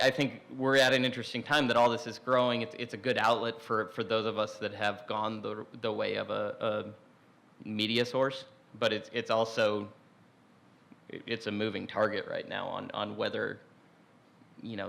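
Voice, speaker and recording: A young man on a discussion panel, talking in a relaxed, rambling way with many restarts. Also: lowish voice, gravelly.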